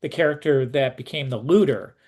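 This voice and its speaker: A man's voice: mid-range voice, a little fast, darkish tone. A man acting as interviewer, asking casual, detail-minded questions and giving brief acknowledgements.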